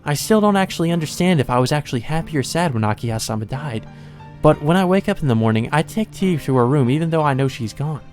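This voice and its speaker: A man's voice: on the high side, expressive, quick pace, voice pushed up, lightly acted. A man voicing an emotionless, doll-like young maid from a visual novel, detached and wistful. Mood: wistful, puzzled. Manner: detached, wistful, softly confessing. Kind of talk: visual novel character reading. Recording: music under the voice.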